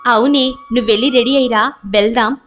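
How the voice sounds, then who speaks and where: A woman voicing a helpful, conspiring neighbour who coaxes briskly in a story. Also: on the high side, steady tone, a bit bright, faint music behind, lightly acted. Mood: coaxing.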